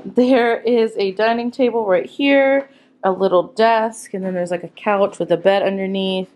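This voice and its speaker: A woman's voice: medium pitch, darkish tone. A young woman in her twenties giving a casual travel vlog tour of a hotel room, filming on a phone held at arm's length. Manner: chatty, listing things offhand. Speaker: travel vlogger.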